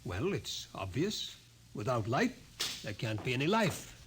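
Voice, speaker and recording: A composed, authoritative scientist, old radio. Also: male voice, medium pitch, sing-song, fairly bright tone. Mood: calm, explanatory. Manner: measured, authoritative, explanatory. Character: composed male scientist in charge, coolly analytical. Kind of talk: 1950s radio drama acting. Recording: noisy vintage 1950s radio recording.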